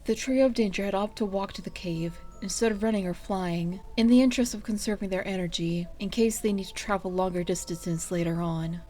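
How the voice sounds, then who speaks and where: A woman's voice: mid-range voice, a little fast, hardly any pauses, dark tone. A woman hosting and narrating a fan fiction reading in a casual, storytelling style. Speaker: fan fiction reader and channel host.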